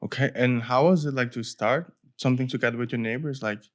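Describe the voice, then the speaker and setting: A podcast host asking a guest questions in a casual interview, with quick short replies. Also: mid-range voice. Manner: curious, quick back-channel replies.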